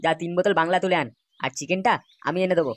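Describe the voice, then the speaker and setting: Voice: mid-range voice, very fast, no echo, natural voice. A performer voicing easygoing, crude young club loafers in a comedy cartoon, chummy and coaxing.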